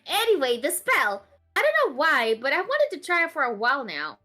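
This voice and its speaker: A woman acts out a bubbly young anime mage from a visual novel, high and bright, with a very lively swing. She is clingy and stubborn, pouting one moment and playful the next, with music playing behind her. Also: a little fast, full character voice. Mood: eager. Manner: playful, pouty, excitable. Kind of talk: voicing a visual novel character live.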